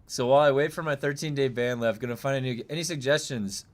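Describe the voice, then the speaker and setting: Loud-voiced man rambling on a stream. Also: quick pace. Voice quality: loud booming voice. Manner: rambling, loose and profane, many fillers. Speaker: game streamer. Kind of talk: casual live-stream chat. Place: home room.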